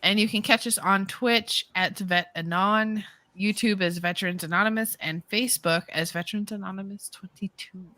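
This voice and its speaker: Woman co-host, crisp bright tone, self-deprecating, dry mic. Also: medium pitch, steady tone, normal speed, few pauses, no room sound. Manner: laughs at herself, self-deprecating. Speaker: podcast co-host. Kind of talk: reading show announcements, casual chat.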